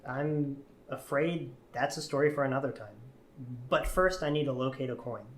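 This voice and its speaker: A man's voice: mid-range voice, slight background noise, close to an everyday voice. A man playing a haunted young storyteller, roomy sound. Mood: guarded. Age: young adult. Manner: earnest, halting, questioning. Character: haunted twenty-two-year-old man earnestly telling his eerie childhood story. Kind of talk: dramatic first-person storytelling in an audio drama. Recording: roomy, echoey voice recording.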